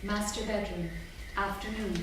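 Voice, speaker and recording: A woman's voice: mid-range voice, steady tone, moderate pace. A woman reading stage directions as scripted narration at a staged reading in a theatre. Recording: echoey theatre from far off, noisy.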